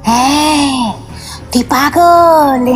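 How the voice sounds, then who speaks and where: A woman's voice: high voice, very slow. A woman voicing a cruel, vengeful female ghost-witch who taunts and shrieks. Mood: taunting. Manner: taunting, shouting, wailing. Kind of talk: audio drama acting.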